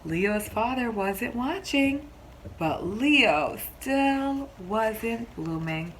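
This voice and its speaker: A woman teacher reading a picture book aloud to young children, expressively, pausing to explain simply and ask questions. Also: on the high side, slight background noise, low-quality recording. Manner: expressive storybook delivery, explains simply, pauses to question listeners.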